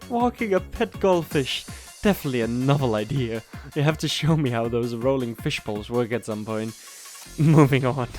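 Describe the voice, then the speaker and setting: A man acts a courteous, encouraging school interviewer in an audio drama. His mid-range voice is measured and formal, with a touch of amusement, over clearly audible music. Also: medium pitch, natural voice. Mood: curious, amused. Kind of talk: audio drama character acting.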